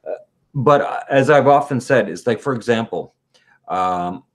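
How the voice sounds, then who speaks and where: A man from Quebec whose first language is French, speaking in a casual conversation in a halting way, with many fillers, sometimes losing his thread. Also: medium pitch, animated. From Quebec, Canada.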